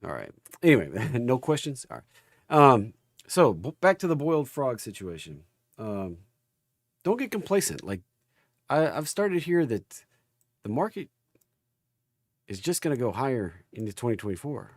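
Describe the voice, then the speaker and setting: Guy with a gravelly voice getting over being sick, chatting casually on a stock livestream, folksy, dry close sound. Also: medium pitch, big pitch swings, moderate pace, long pauses, dry recording. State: recovering from an illness. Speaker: host of a stock market livestream. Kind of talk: casual livestream chat and market commentary.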